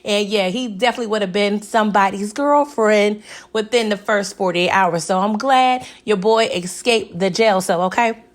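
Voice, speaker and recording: A young woman with a raspy, hoarse voice, chatting casually through a TV episode recap and often asking "okay?". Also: mid-range voice, normal speed. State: hoarse from recent illness. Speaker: TV recap vlogger.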